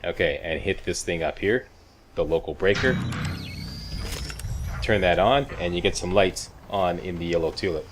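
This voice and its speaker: A man's voice: low-pitched, moderate pace, pauses often, music in the background. A man giving casual, folksy video game walkthrough commentary with wisecracking asides.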